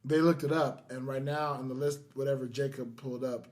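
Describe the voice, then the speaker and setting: A man's voice: medium pitch, fairly even intonation, a little fast, darkish tone. Casual man hosting a podcast. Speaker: podcast host.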